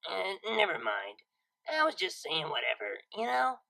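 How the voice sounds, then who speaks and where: A woman's voice: medium pitch, huge pitch swings, unhurried, vocal fry, sharp tone, faint music behind, heavily acted, rough recording. A woman voicing a brash but awkward young female DJ unicorn in an audio story, talking in a casual, blunt way. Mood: awkward, bluffing. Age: young adult.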